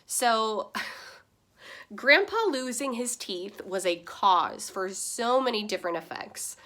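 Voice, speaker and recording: A woman reading a children's story aloud in the style of a teacher, with dramatic pauses and stressed words. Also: medium pitch, bright, forceful tone.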